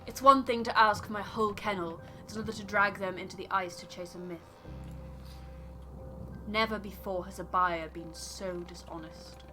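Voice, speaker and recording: A woman's voice: medium pitch, fairly even intonation, moderate pace, music in the background, close to an everyday voice. A woman voicing a proud, exacting sled dog breeder with a formal, firm and haughty manner in a story game. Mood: indignant.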